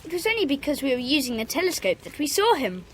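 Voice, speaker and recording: A woman playing a brisk, sensible schoolboy club leader in a children's audio drama. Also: high voice, sing-song, slight background noise. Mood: confident.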